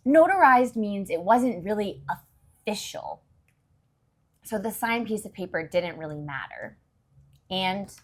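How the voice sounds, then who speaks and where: A woman reading a story aloud to young children, expressively, with simple explanations along the way. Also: mid-range voice. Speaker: children's story reader and host.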